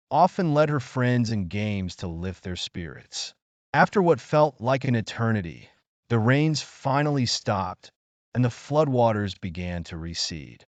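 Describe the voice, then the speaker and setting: Male narrator for children's tales, lowish register, highly animated intonation, earnest and measured. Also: no echo. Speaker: storyteller. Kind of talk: scripted children's story narration.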